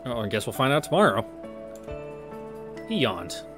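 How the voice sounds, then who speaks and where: A man's voice: medium pitch, sing-song, moderate pace. A man reading a visual novel aloud, breaking off into wry, informal commentary, with game music behind him. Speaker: let's-play narrator. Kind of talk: visual novel read-through with casual commentary.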